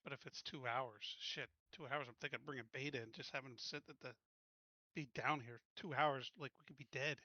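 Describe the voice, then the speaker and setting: A man's voice: mid-range voice, steady tone, fairly quick, bright, forceful tone, no room sound, dull audio. A man chatting casually as a player in a tabletop roleplaying game.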